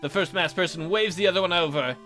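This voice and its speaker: Male let's player, high and lively, reading game text with jokey commentary over light music. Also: fast talker. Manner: jokey, animated, goofy asides. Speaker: let's play host. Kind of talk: reading a visual novel aloud with ad-libbed jokes. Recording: background music under voice.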